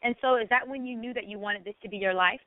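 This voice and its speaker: A woman's voice: a little high, fast, no room sound. A woman hosting a casual interview in an encouraging, affirming way. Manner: encouraging, affirming, frequent murmured agreement. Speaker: talk show host, interviewer. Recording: band-limited phone-like radio sound.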